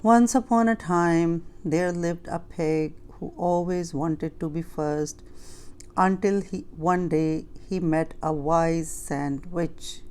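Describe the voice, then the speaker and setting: A woman's voice: lowish voice, steady tone, slow, warm tone, clean tone. A woman voicing a meek, weary young male pig in a children's storybook, timid and hesitant.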